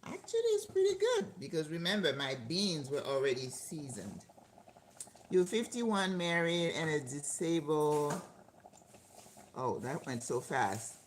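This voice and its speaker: Older woman, low voice, chatty cooking host, roomy kitchen echo. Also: big pitch swings, measured pace. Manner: chatty, talks to viewers and laughs often. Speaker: channel host cooking live. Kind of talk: casual chat while cooking. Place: home kitchen. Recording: echoey kitchen with faint background noise.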